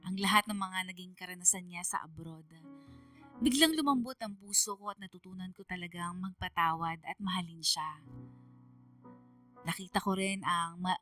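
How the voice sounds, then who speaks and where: A woman's voice: medium pitch, fairly bright tone, recorded from a distance, natural voice. A woman with a Filipino accent playing a sentimental young daughter, narrating her heartfelt letter in a radio drama. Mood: tender, hopeful. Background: music.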